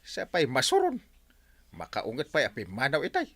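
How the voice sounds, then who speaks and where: Exasperated comic neighbor, Ilocano accent, radio drama; bright, extremely animated pitch. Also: male voice, moderate pace, dry recording, full character voice. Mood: aggrieved. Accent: Ilocano Filipino. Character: excitable, exasperated male neighbor refusing to lend money. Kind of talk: radio comedy-drama acting.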